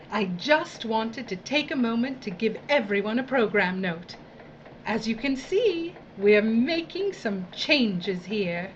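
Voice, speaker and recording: A woman's voice: on the high side, highs cut off, a little hiss or hum. An actress playing a domineering, sadistic female boss in an audio drama, with a condescending, mock-sweet, imperious drawl. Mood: saccharine. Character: domineering, theatrical, sadistic female boss of dystopian work crews. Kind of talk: theatrical audio drama acting.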